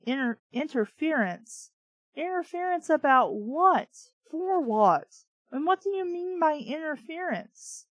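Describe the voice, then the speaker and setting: A woman's voice: high voice, animated, slow pace, dry recording. A woman reading a story aloud and breaking in with blunt, exasperated, sarcastic commentary full of swearing. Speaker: host of a bad-fiction reading show.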